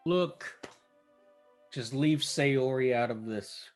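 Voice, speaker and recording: A man's voice: medium pitch, slow, soft background music. A man on a gaming podcast, sardonic and swearing, often breaking into laughter. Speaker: let's play co-host. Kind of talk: casual podcast banter.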